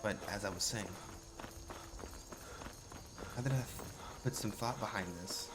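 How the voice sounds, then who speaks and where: A man roleplaying a bewildered fantasy adventurer in a video game, thinking aloud in a halting, musing way. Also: lowish voice, noisy background, lightly acted. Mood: puzzled, musing. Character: bewildered, hesitant male adventurer in a fantasy world. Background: music.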